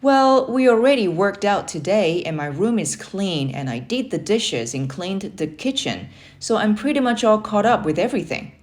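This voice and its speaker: An earnest young boy from a children's storybook, voiced by a woman. He is excitable and easily flustered, questioning things in a raised pitch. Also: normal speed, few pauses, natural voice. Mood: defensive. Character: eager, earnest schoolboy nephew, easily flustered. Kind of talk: children's storybook character reading.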